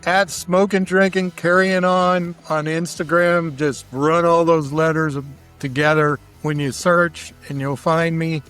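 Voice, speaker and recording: Slow-talking man with a higher-pitched voice. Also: level tone, fairly bright tone, smooth, soft background music. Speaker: interview guest, barbecue cook. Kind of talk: casual conversational interview.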